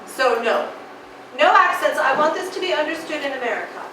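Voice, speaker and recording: Haughty woman playwright played by an actress, high voice, echoey stage from afar. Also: expressive, a little fast, bright, forceful tone, distant mic. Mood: prim. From Britain. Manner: haughty, prim and theatrical. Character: haughty woman playwright, long living abroad. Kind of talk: stage play performance. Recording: echoey stage heard from afar, background noise.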